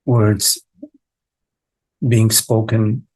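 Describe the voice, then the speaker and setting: A man with a lowish, husky voice guides a meditation. He speaks in short, halting phrases and leaves long pauses between them. The sound is dry and close. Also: level tone, darkish tone, no echo, rough recording. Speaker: meditation teacher leading a live online session. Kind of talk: guided meditation, slow contemplative speech.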